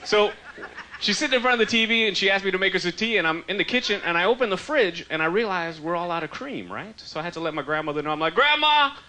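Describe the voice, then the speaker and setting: A man in his thirties from Trinidad, raised in Canada, performing an animated, conversational stand-up comedy set on a handheld mic in a theater. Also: sits high, fast talker. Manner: animated, conversational, slangy delivery. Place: large theater stage before a live audience. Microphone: handheld stage mic. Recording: live theater audio with audience noise.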